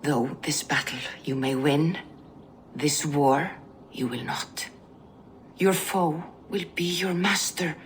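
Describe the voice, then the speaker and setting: An actress playing an ominous female seer in a video game, speaking slowly and intensely, sometimes dropping to a whisper. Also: deep voice, a little hiss or hum. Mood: foreboding. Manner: slow, intense prophetic delivery, dropping to whispers. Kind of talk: video game cutscene acting.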